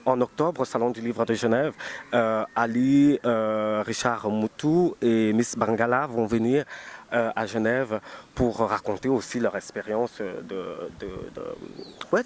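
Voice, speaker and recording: A man speaking as a writer in an unscripted live interview, halting as he breaks up phrases and repeats words for emphasis. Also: mid-range voice. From Cameroon. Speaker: writer being interviewed at a book festival. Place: busy book festival venue. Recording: noisy event ambience. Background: event noise and ambience.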